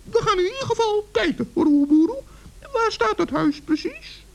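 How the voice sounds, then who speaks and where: A man's voice: very high-pitched, animated, normal speed, gravelly, full character voice. An actor playing a small, kindly, fretful male forest gnome in a children's radio play, earnest and plaintive.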